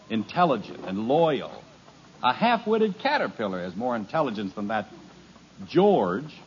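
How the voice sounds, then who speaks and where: A man's voice: medium pitch, big pitch swings, a little slow. An actor playing an exasperated, sarcastic suburban father in a 1950s radio sitcom. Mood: grumbling. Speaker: father of the family. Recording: dull lo-fi old radio recording, faint hiss.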